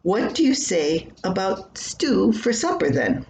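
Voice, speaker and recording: A wry farm wife played by an older woman reading a story, patient yet exasperated and gently chiding. She speaks slowly and pointedly in an echoey room, with faint noise behind. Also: medium pitch, very animated, creaky. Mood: coaxing. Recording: roomy echo with faint background noise.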